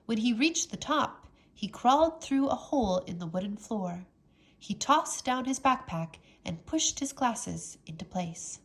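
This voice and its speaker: A woman reading a children's storybook aloud as a teacher, with expressive, dramatic narration. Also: a little high, a little slow.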